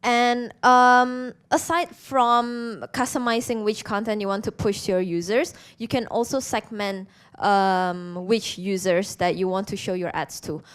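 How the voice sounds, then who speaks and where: Female conference speaker, Indonesian accent, mid-pitched clear voice, interactive presentation style. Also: steady tone, unhurried, few pauses. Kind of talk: presentation to an audience.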